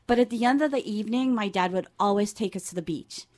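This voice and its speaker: A woman's voice: mid-range voice, fast talker. Woman telling childhood stories. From Guyana. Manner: relaxed storytelling with laughs. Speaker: guest. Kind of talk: casual interview chat.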